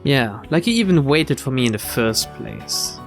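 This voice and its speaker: A man's voice: mid-range voice, animated, normal speed, creaky. Man doing casual game commentary over music. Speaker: gameplay host. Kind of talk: casual let's-play commentary and read-aloud.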